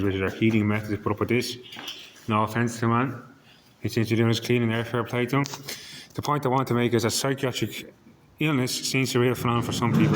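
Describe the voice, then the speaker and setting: Hoarse, husky Irish man talking fast. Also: medium pitch, very noisy. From Ireland. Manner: stumbling, repeats words while searching for them. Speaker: vlogger. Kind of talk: rambling monologue to camera.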